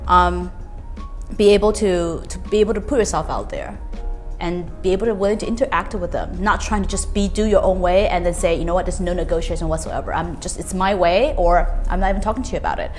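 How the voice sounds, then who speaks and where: A woman's voice: fairly low pitch, fast, soft background music. A woman from Taiwan speaking earnestly on a panel, searching for words with many restarts. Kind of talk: reflective panel talk.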